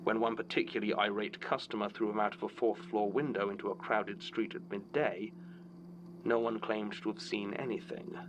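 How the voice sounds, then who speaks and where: A man playing a grave storyteller reading eerie testimony in an audio drama, measured, ominous and dramatic. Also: on the low side, level tone, close to an everyday voice. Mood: grave, dry. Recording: noisy, humming tape-style recording.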